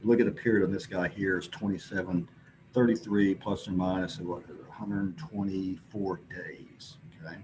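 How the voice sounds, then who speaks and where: Low-voiced man giving a halting science presentation, noisy recording. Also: fairly even intonation. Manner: halting, hesitant explanation with pauses. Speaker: presenter at an astronomy symposium. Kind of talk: informal scientific slide presentation. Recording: clearly noisy recording with background ambience.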